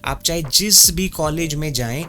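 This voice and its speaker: A man's voice: a little high, very fast, pushed and bright, soft background music. A young Indian man with an Indian English accent and a mild stammer, earnestly giving career advice to camera and switching between English and Hindi. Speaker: YouTube host and career coach. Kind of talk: direct-to-camera advice talk.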